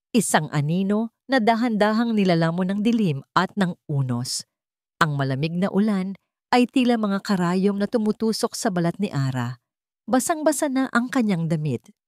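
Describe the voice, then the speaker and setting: A woman's voice: on the low side, a little mellow, dry recording, clean studio sound. A woman with a Filipino accent narrating a romance drama story in an emotional, dramatic way.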